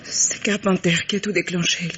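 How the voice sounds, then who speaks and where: An actress playing a frightened, defensive young woman accomplice under questioning, halting and insistent, in old French radio mystery style. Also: fairly low pitch, level tone, fairly bright tone, lightly acted. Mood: anxious confession. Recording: muffled, hissy old radio drama recording.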